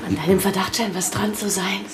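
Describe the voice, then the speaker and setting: An actress playing a teasing, flirtatious confidante in a radio drama. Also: female voice, mid-range voice, flat delivery, a little fast, natural voice. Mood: confiding. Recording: roomy, with music behind.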